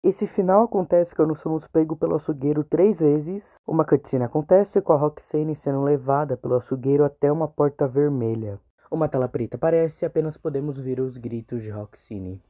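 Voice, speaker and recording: A man's voice: fairly high pitch, fairly quick, hardly any pauses. A man with a Brazilian accent narrating a horror game explainer video in an informal, conversational way. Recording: muffled, narrow phone-like sound.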